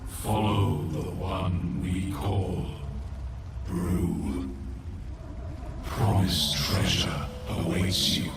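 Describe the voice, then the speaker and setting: An actor playing an ancient, serene fungal sovereign, benevolent and ceremonial, in a fantasy video game. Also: male voice, low-pitched, fairly even intonation, heavy background noise. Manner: solemn, measured, ceremonial. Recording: echoing, distant, with music behind.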